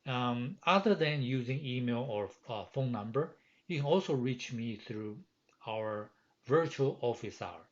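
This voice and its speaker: A middle-aged man from Taiwan, a professor giving a course welcome lecture from his home office, with many fillers and pauses. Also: medium pitch, animated. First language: Chinese. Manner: frequent hesitations and fillers, earnest. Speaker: university professor. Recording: dull lo-fi home recording.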